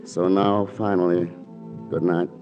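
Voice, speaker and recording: An actor playing a suave, wistful, teasing painter in a 1940s radio romantic comedy, with a wry, hesitant delivery. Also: male voice, sits low, little pitch movement, measured pace. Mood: tender, regretful. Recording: dull vintage radio recording, music behind.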